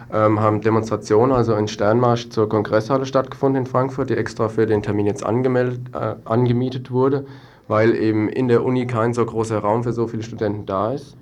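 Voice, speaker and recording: A man's voice: lowish voice, darkish tone, a little hiss or hum. A young man giving spontaneous interview answers as a student activist, hesitating and drawing out his phrases. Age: young adult.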